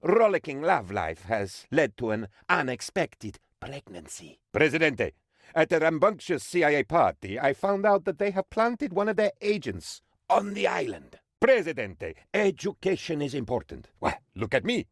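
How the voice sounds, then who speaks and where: A man voicing an excitable, pompous and dim-witted sycophantic aide to a dictator in a video game, with theatrical, naively enthusiastic delivery. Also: fairly high pitch, moderate pace, no echo, clean studio sound. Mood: eager, pompous. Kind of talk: video game character voice lines.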